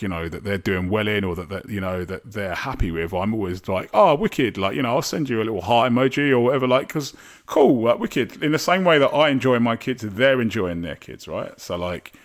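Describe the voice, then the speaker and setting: Low-voiced man, chatty and rambling. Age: 40s. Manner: chatty, rambling, many fillers and restarts, self-deprecating. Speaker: podcast guest. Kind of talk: casual interview chat.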